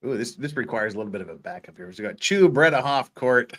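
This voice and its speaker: A man's voice: medium pitch, very animated, fast talker, creaky, fairly bright tone. A man hosting a casual comic commentary show, joking and sardonic as he chats. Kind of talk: casual chat and dramatic reading of trial transcripts.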